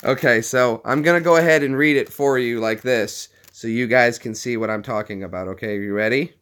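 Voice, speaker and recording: A chatty man from Tennessee with a neutral American accent, vlogging casually to his viewers. His pitch sits in the middle range. He jokes around and walks through things step by step. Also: a little fast, a bit bright. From Tennessee, United States. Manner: chatty and jokey, explains step by step. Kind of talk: casual chat to camera.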